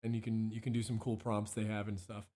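Hoarse man chatting with a mellow voice. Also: fairly low pitch, level tone, quick pace. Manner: rambling, many ums and restarts. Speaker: musician guest. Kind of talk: casual radio chat.

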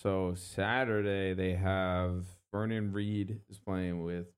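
Deep, smooth-voiced male host. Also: level tone, takes it slowly. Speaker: radio or podcast host. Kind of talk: casual hosting chat, event plugs.

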